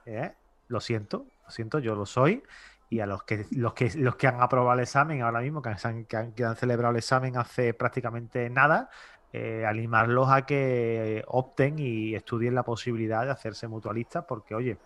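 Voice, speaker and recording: A man's voice: medium pitch, moderate pace, fairly bright tone. A man with a Spain Spanish accent hosts a casual interview, chatting informally and often repeating or rephrasing himself. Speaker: podcast host.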